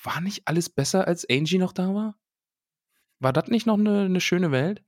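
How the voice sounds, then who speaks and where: A man's voice: high voice, animated, normal speed, dry recording, studio quality. A man co-hosting a podcast in casual chat, playful and jokey, reading a list of names and wandering off topic. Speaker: podcast co-host.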